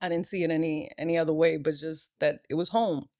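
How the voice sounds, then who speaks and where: A woman's voice: deep voice, fairly even intonation, brisk, warm tone, no echo, clean studio sound. A woman, an interview guest sharing her life story in a reflective, hesitant way. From Brooklyn, New York. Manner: reflective, hesitant, with many fillers. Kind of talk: personal testimony interview.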